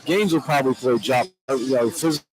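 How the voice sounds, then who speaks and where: Guy doing casual hoops analysis, stop-start talk, mid pitched voice, music in the background. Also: fairly quick, crowd noise. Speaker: basketball radio analyst. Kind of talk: casual sports chat, analysis. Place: arena, during pregame.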